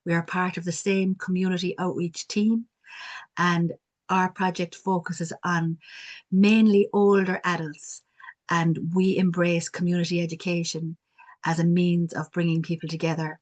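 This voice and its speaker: A woman's voice: fairly low pitch, little pitch movement, moderate pace, a little mellow. A woman from Cork with a strong Cork Irish accent, telling reflective stories on a video call panel. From Cork, Ireland. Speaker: community development worker. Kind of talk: online panel talk.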